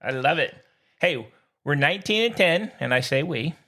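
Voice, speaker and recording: A man co-hosting a sports podcast, bantering casually in an excitable, boastful, slangy way. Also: fairly high pitch, moderate pace. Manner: excitable, whooping, slangy Yankees-fan boasting.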